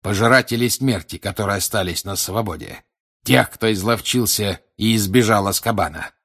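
A man acts out a grim older male wizard for an audiobook. The voice is low and husky, with deliberate, probing questions and an insistent menace. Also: fairly bright tone. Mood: contemptuous, menacing. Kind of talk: audiobook character acting.

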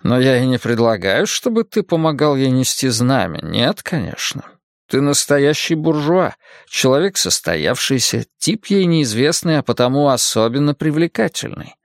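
A man voicing a languid, sardonic middle-aged male spymaster who drawls with slow irony. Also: mid-range voice, big pitch swings, dry recording, clean studio sound. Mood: lofty. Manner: drawling and ironic, slow and lofty.

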